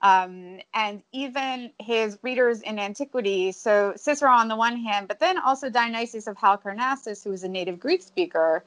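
A woman translator answering interview questions in a measured, academic way, with frequent ums and restarts. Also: on the high side, a bit bright, rough recording. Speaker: translator of ancient Greek.

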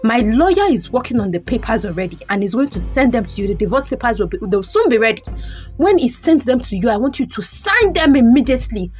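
A man's voice: very high-pitched, very animated, quick pace. A man with a Nigerian accent playing a scornful, domineering estranged husband in an audio drama, repeating phrases for emphasis. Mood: contemptuous. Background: music.

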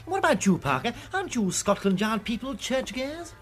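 A man playing a gossipy, foppish upper-class English gentleman in a radio drama, full of old-fashioned slang. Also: very high voice, sing-song, moderate pace, faint music behind, heavily acted. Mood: gossipy, jovial. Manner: slangy, tagging remarks with "what?".

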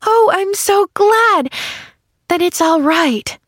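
A woman voicing a bright, innocent young girl who gushes with eager joy in an audiobook. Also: very high voice, animated, takes it slowly, dry recording, studio quality, pitched above the performer's own voice, exaggerated voice. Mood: overjoyed. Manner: gushing, breathless enthusiasm. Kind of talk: audiobook character acting.

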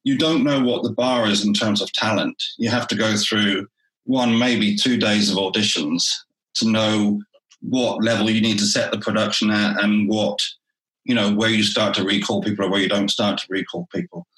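A man who is a theatre director, talking through his work in a relaxed interview and often restarting his phrases. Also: lowish voice, moderate pace, roomy sound, poor audio.

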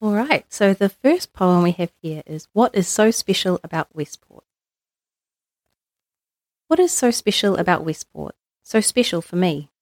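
A woman with a New Zealand accent reading poetry aloud in measured, short phrases. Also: mid-range voice, big pitch swings, darkish tone, no echo, studio quality. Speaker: guest poetry reader.

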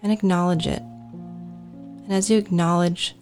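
A woman guides a meditation with a notably low-pitched voice. She speaks calmly and deliberately, in soothing instructions. Faint music plays behind her.

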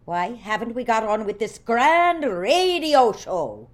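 An older Irish prima donna, imperious and vain. Her voice sits fairly high and sweeps widely up and down. She speaks with theatrical scorn, as in a radio comedy. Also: sing-song, slow. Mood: scornful, impatient. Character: vain, imperious old female singing star. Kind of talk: comedic audio drama acting.

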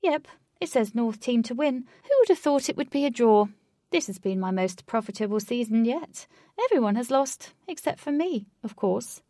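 A woman's voice: fairly high pitch, expressive, normal speed, dark tone, dry recording, clean studio sound, voice pushed up, natural voice. A woman voicing a brusque, smug male bookie who brushes off a desperate customer in a storybook. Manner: curt, dismissive.

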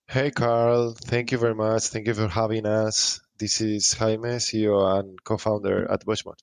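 A man's voice: lowish voice, flat delivery, moderate pace, vocal fry, no room sound. A man with a Spanish accent giving explanatory interview answers as a company founder.

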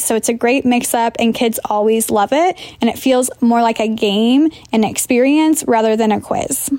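A woman's voice: fairly high pitch, darkish tone, dry recording. A woman teacher leaving a voicemail, explaining in an instructional style, step by step.

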